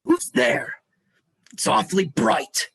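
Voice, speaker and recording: Ailing, gluttonous storybook bear gone to seed: croaky, forceful tone, slow and needy, dark comedy play. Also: male voice, sits high, huge pitch swings, heavily acted. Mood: befuddled. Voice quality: raspy. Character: gluttonous, ailing, dim old stuffed bear. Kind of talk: dramatized livestream play reading.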